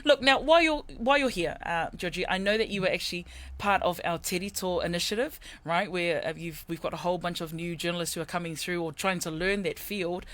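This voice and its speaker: A morning radio host interviewing a guest on air, encouraging and quick to laugh. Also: mid-range voice, fairly bright tone.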